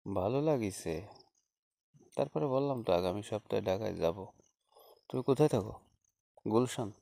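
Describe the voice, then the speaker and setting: Casting: male actor as hesitant, courteous Bangladeshi ex-teacher on a phone call, warm timbre with some fry. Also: on the low side, no echo. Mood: polite, musing. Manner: hesitant, halting, with frequent small acknowledgements. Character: hesitant, polite unmarried former schoolteacher phoning an old schoolfriend. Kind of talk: staged phone-call audio story, conversational.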